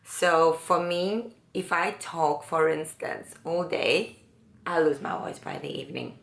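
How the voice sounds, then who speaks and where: A woman with a low, raspy voice chatting slowly through a makeup tutorial, pausing often. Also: reverberant. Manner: unhurried, musing, with many pauses and fillers. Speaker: beauty vlogger. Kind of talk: casual makeup tutorial chat.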